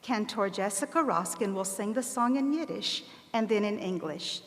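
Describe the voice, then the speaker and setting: A woman reading scripted historical narration as a presenter, in a measured, formal way. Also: medium pitch. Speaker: narrator and presenter at a commemorative event. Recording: reverberant hall.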